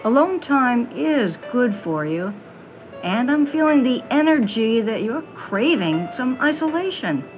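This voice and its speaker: Mystic fortune teller: female, knowing, teasing, very animated, audio drama, music bed. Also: a little high, highs cut off. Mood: soothing, knowing. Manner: theatrical, honeyed pet names, dramatic pauses. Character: mysterious middle-aged woman fortune teller, theatrical, knowing, teasing.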